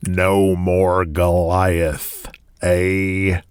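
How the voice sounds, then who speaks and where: Very slow, deep, distracted promoter. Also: male voice, monotone, clear, resonant tone, no room sound. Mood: flat, terse. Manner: laconic, distracted, hesitant. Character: terse, preoccupied male wrestling promoter, gruff and weary. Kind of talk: audio drama acting.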